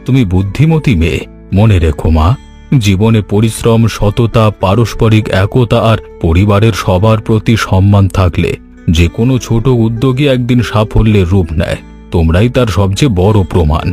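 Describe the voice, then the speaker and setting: Man acting as elderly village uncle, low voice, fatherly, kindly, moralizing, animated cartoon tale, music behind. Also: moderate pace, natural voice. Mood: gently advising. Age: older. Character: kindly old village uncle giving blessings and advice. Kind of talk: Bengali animated story voice acting.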